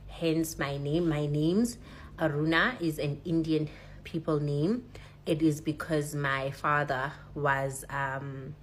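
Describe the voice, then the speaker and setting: South african woman vlogging, low voice, bit hesitant, faint noise. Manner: casual, hesitant with ums. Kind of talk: personal vlog talk.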